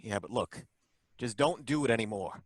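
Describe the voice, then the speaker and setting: Actor as a fumbling city inspector, mid-pitched, lively delivery, dry close sound, old-time radio play. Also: male voice, no room sound, lightly acted. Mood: suspicious. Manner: probing, hesitant, halting questions. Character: suspicious, bumbling male license inspector. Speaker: license inspector.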